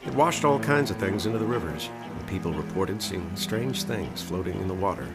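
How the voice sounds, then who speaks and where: Low, grave horror film narrator, loud music. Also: male voice, lively intonation, moderate pace. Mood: grave, ominous. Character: grave man recounting strange flood events, 1920s horror film.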